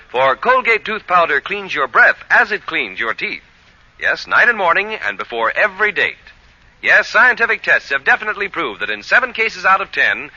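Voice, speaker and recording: A man's voice: on the high side, pushed and bright, no room sound. A man reading a scripted 1940s radio advertisement as commercial announcer. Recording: dull, dry old radio recording.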